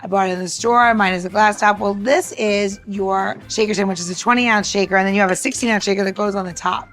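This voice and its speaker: A woman's voice: mid-range voice, steady tone, fast, fairly bright tone, soft background music. A woman from the United States co-hosting a podcast with casual, chatty, blunt banter and practical how-to tips. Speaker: podcast co-host.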